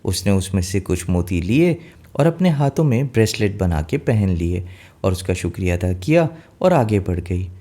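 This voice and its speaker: A man's voice: low voice, sing-song, moderate pace, mellow. A man narrating a scripted children's fairy tale in simple language, mixing in some English words. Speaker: storyteller.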